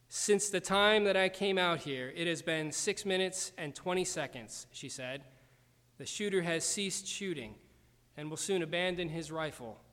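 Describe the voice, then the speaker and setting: A man preaching a sermon in a measured, deliberate way, slowing down for emphasis. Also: fairly high pitch, fairly bright tone, big-room sound. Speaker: pastor.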